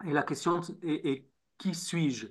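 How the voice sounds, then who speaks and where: Halting man. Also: on the high side. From Israel. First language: Hebrew. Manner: halting, repeats words while searching. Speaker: novelist guest. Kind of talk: interview answers.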